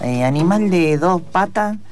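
A man playing a slow-witted, excitable police officer in improvised comedic radio theatre, rambling and repeating himself in an Argentine accent. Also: high voice.

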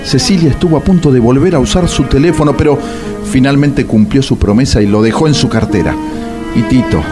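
An actor playing a wry, mock-solemn Argentine storyteller, narrating a scripted radio comedy. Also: male voice, medium pitch, lightly acted. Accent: Argentine, Rioplatense.

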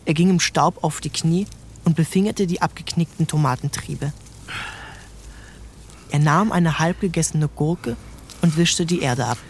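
A man's voice: on the high side, unhurried, pauses often, a little hiss or hum. A man giving scripted literary narration as the narrator of an audio drama. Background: faint music.

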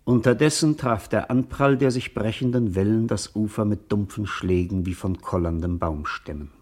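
A husky, fairly low voice delivers literary radio narration. It is a man acting as a solemn, poetic narrator who declaims in vivid, measured phrases. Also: darkish tone, natural voice. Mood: solemn, lyrical.

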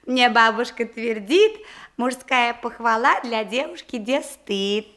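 An artless, giggly country maiden in a theatrical radio comedy. Her voice is high and lilts widely as she speaks. She draws out the verse slowly, coy and full of little exclamations. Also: expressive, fairly bright tone. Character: naive, coy, giggly provincial maiden, simple-hearted and chatty.

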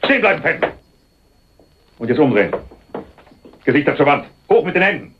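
An actor playing a wry, cool undercover police detective in a radio crime drama, ironic, teasing and matter-of-fact. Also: male voice, fairly high pitch, big pitch swings, a little fast, a little hiss or hum. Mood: firm. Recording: dull, muffled radio play recording.

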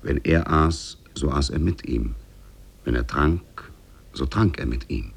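Old radio narrator guy, super deep and gravelly, formal measured fairy tale reading, 1950s german style. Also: animated.

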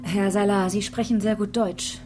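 An actress playing a matter-of-fact police detective in a naturalistic radio crime drama, probing in a businesslike way. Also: female voice, mid-range voice, fairly even intonation, moderate pace, over music, natural voice. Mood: polite, questioning.

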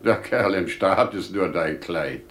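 An actor playing a wise, shrewd older father in a 1950s German radio play, theatrical and rhetorical, dwelling on words with dramatic pauses. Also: sits low, lively intonation, fairly bright tone, heavily acted. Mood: surprised, amiable. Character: wise older merchant father, male, shrewd, teasing yet earnest.

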